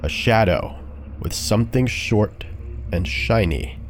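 Slow, low-voiced man telling horror stories. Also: animated, heavy background noise. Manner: measured, deliberate, dramatic. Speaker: podcast narrator. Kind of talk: dark scripted horror storytelling. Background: background music.

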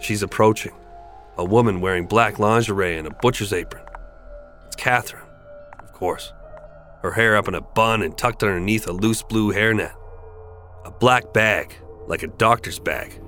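A man narrating a dark scripted horror story in a measured, deliberate, dramatic way over music. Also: lowish voice. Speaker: podcast narrator. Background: background music.

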